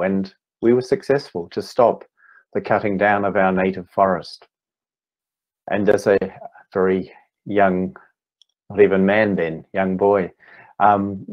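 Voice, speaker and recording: A man in his fifties with a New Zealand accent, giving an online talk as a meditation teacher, speaking slowly and deliberately with gentle pauses. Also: low voice, poor audio. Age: 50s. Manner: slow, deliberate, gently pausing. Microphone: video call.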